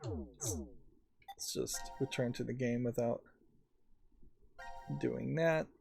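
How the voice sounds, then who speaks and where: A man hosting a let's play, giving unscripted gameplay commentary in a halting, thinking-aloud manner. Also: mid-range voice, lively intonation, creaky, a little mellow. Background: faint game music.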